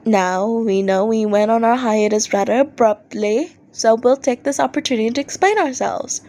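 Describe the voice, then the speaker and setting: A woman reading fan fiction aloud as an expressive amateur audiobook narrator, acting out posts in an animated way. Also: on the high side, moderate pace.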